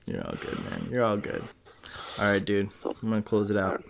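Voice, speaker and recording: A man's voice: fairly low pitch, huge pitch swings, normal speed, vocal fry, faint music behind. A man hosting a podcast in an informal, encouraging, conversational way. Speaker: podcast host. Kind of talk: casual interview chat.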